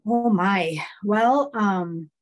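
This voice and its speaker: A middle-aged woman talks over a headset on a video call. Her pitch sits in the middle of the range. She tells her story warmly and loosely, with plenty of fillers along the way. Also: extremely slow. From East Germany. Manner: warm storytelling, frequent laughs and fillers. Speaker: guest, horse body-work therapist.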